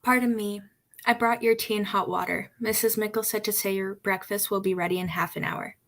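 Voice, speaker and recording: A sorrowful young maid played by a woman in a radio mystery. Her medium-pitched voice creaks noticeably, and she speaks meekly and mournfully. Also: fairly even intonation, fairly quick, a bit bright. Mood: sad, meek. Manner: meek, mournful. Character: Marion, sorrowful young female servant at an inn. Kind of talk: old-time radio drama acting.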